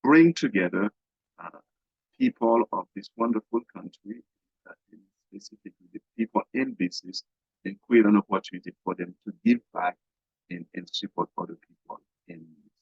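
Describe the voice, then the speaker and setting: Gravelly deep-voiced man. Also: big pitch swings, no room sound. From Haiti. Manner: halting, many fillers and restarts. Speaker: guest, business coach. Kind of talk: interview. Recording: dull, dry lo-fi sound.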